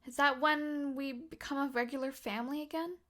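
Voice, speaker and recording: A woman's voice: high voice, steady tone, a little fast, pushed and bright. A woman voicing an eager, mouthy young vigilante girl in a comic dub. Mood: pleading.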